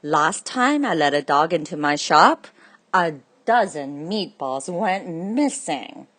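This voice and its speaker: A woman's voice: low-pitched, lively intonation, takes it slowly, a bit bright, heavily acted. Woman as a blunt, scolding storybook butcher. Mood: annoyed, dismissive. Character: gruff, blunt butcher who refuses dogs. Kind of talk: children's read-aloud.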